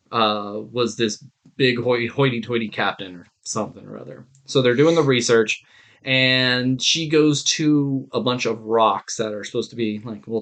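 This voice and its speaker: A man's voice: medium pitch, fairly bright tone. A man co-hosting a podcast in casual chat, telling stories in an irreverent, digressive way with some swearing. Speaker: podcast co-host.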